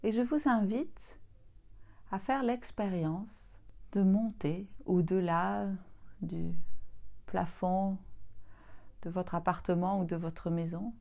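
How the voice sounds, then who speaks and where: A woman leading a guided yoga nidra relaxation as a teacher, soothing and gently inviting, leaving long pauses between phrases. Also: lowish voice, gravelly, dull audio.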